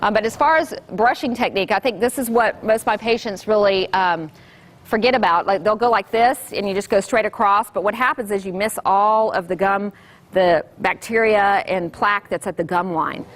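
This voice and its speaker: A woman, an orthodontist giving a loud, lively classroom talk to children. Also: mid-range voice, moderate pace. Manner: loud and lively, sing-song call-and-response.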